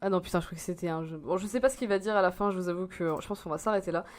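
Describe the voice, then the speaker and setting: A woman's voice: on the low side, very fast. Slangy young woman reacting casually. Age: 20s. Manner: slangy, rambling, swears often. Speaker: streamer reacting to a video.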